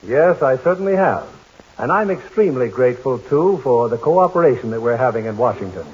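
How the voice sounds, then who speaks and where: A middle-aged man hosting a 1940s radio drama broadcast, courteous and measured in a formal manner. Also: mid-range voice, lively intonation, muffled recording, a little hiss or hum. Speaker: radio drama host and producer.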